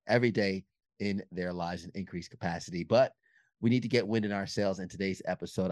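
A man's voice: fairly low pitch, darkish tone, no room sound, studio quality. Earnest man hosting a podcast. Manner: earnest, upbeat, with restarts. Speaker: podcast host. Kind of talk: podcast interview.

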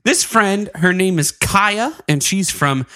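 A man's voice: high-pitched, expressive, takes it slowly, pushed and bright, no room sound, studio quality. A man co-hosting a comedic podcast, joking, laughing often and reading out listener messages. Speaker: podcast co-host. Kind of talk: casual comedic podcast chat.

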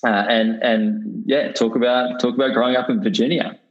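A man's voice: mid-range voice, steady tone, normal speed, muffled recording. A man hosting a podcast interview, enthusiastic and chatty, often restarting sentences with fillers. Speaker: podcast host. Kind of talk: casual interview chat.

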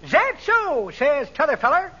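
A man's voice: very high voice, big pitch swings, normal speed, pushed and bright, exaggerated voice. An actor playing a cheerful, henpecked old man with folksy old-timer talk in a 1940s radio comedy. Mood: chuckling, folksy. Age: older. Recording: noisy, muffled 1940s radio recording.

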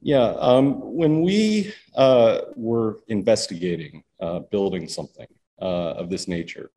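A man who co-owns a distillery, speaking haltingly with many ums in a casual interview. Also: lowish voice, lively intonation, warm tone, poor audio. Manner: halting, full of ums and uhs. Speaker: distillery co-owner. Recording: faint background noise.

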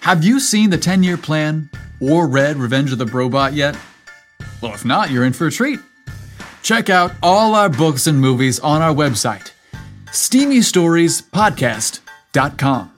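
Slow-paced man narrating a story over music. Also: fairly high pitch, sing-song. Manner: playful, cheeky. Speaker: podcast host and story narrator.

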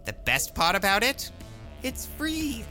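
An actor playing a macabre, gleefully sinister horror-tale host, speaking in a theatrical style. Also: male voice, sits high, wildly swooping pitch, slow, over music, heavily acted.

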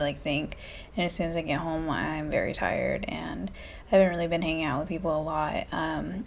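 A woman in her twenties hosting a solo podcast, talking low and hushed in a candid, profane casual chat from a room in a small house. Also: gravelly, slight background noise. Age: 20s. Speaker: podcast host. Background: faint classical music.

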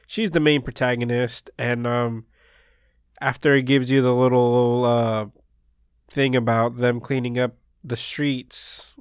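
A man whose first language is Spanish co-hosts a relaxed anime podcast in English. He recaps episodes in a loose, wandering way. His mid-pitched voice is recorded close and dry. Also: takes it slowly, clean tone, dry recording. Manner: laid-back, rambling plot recaps. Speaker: podcast co-host. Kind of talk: casual anime discussion.

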